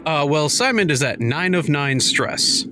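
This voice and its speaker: A man's voice: a little high, expressive, a little slow. Rambling, laughing male tabletop player. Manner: rambling, laughing explanations with many fillers. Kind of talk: playing a tabletop game, in character and out. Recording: music bed under the voices.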